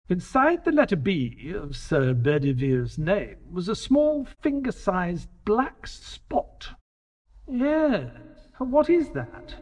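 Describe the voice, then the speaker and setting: Male narrator as detective's earnest companion: dramatic scripted storytelling, lighter high register, expressive swings. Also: full character voice. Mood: intrigued, then curious.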